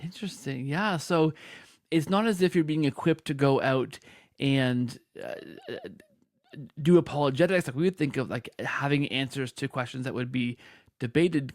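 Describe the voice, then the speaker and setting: A man's voice: mid-range voice, gravelly, dry recording, studio quality. A man hosting a podcast, interviewing casually with informal, hesitant phrasing that circles back on itself. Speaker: podcast host.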